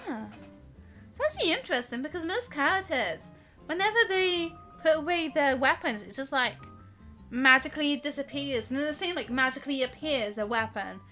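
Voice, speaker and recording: A woman's voice: high-pitched, lively intonation, normal speed, bright, forceful tone. A woman live streamer giving casual, chatty, giggly game commentary that rambles along. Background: game music.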